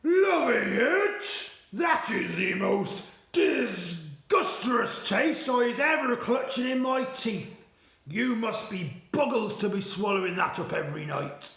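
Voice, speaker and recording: A man's voice: sits high, sing-song, takes it slowly, a bit bright, reverberant, pitched above the performer's own voice, heavily acted, poor audio. A man voicing a greedy, brutish male giant who is suspicious and menacing, drawing out his threats.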